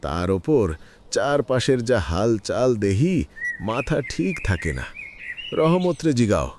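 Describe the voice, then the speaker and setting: An actor playing a weary, aggrieved elderly villager in a cartoon, with a rural Bangladeshi Bengali accent and a plaintive, folksy way of complaining. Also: male voice, mid-range voice, big pitch swings, takes it slowly. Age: older. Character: weary, aggrieved elderly village man lamenting hard times. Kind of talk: cartoon village drama dialogue. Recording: faint background noise with clicks.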